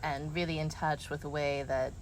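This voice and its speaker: Low-voiced woman hosting a casual interview. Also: little pitch movement, moderate pace, low-quality recording. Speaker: host, interviewer. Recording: noticeable ambient background noise.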